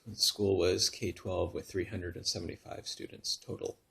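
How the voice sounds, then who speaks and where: A man in his thirties from Northern California who tells his own story in a soft, halting way, full of pauses and restarts, sometimes close to a whisper. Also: sits low, little pitch movement, vocal fry, slight background noise. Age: 30s. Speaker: vlogger telling his story. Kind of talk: personal confessional vlog.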